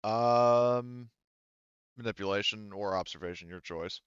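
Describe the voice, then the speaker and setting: Male game master, low clear voice, casual halting improvised narration, slightly dull recording. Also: no echo.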